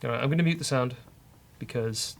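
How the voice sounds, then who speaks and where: Creaky-voiced man thinking aloud while coding. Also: medium pitch, fairly quick. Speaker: host, software developer. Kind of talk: casual live-coding talk, thinking aloud. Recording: faint noise, keyboard typing.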